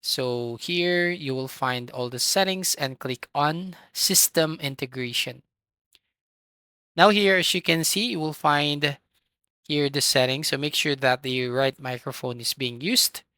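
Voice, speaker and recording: A tutorial host giving step-by-step software instructions in an instructional manner, with a voice recorded close to the microphone. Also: medium pitch, a little slow, smooth, dry recording.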